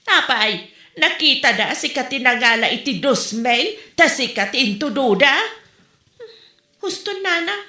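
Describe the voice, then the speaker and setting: A woman acting lines in a comedic audio drama, in an animated, teasing manner. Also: sits high, measured pace, echoey room.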